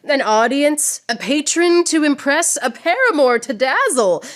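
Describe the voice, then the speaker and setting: A proud, witty swordswoman poet of radio theatre, played by a woman. Her high voice swings widely in pitch and takes its time, savouring each mocking turn of verse with a theatrical swagger. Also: sing-song, unhurried. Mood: mocking, playful. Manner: declamatory, mocking, precise wordplay.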